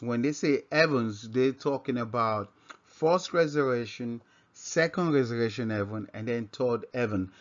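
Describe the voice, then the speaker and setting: Nigerian man teaching, higher-pitched, slightly muffled. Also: a bit bright. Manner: halting, repeats and rephrases himself. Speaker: religious teacher. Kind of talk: informal scripture lesson. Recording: dull, lo-fi microphone sound.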